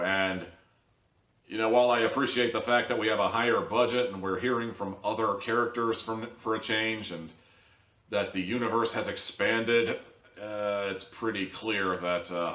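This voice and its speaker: Male reviewer, lowish voice, casual and bluntly sarcastic delivery, recorded off mic in a roomy space. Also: pushed and bright, far from the mic. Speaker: online audio drama reviewer. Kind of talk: casual opinionated review commentary. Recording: echoey room, off mic.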